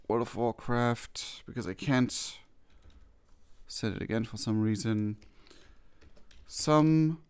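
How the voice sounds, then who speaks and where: A man's voice: mid-range voice, darkish tone, clear, resonant tone. A man giving casual live reaction commentary on a game, rambling and often rewording himself. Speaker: streamer reacting to game cutscenes.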